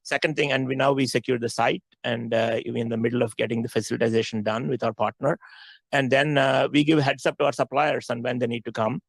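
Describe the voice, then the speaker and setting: A male company executive explains things on an earnings call, repeating himself for emphasis. His pace is quick and his voice gravelly, with a dry, close sound. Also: mid-range voice, steady tone, dry recording.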